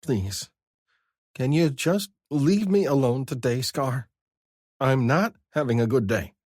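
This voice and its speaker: A male narrator voicing a sad, sharp-tongued young woman who answers with tearful but wry retorts. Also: mid-range voice, lively intonation, slow pace, no echo, clean studio sound, pitched above the performer's own voice. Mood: weary.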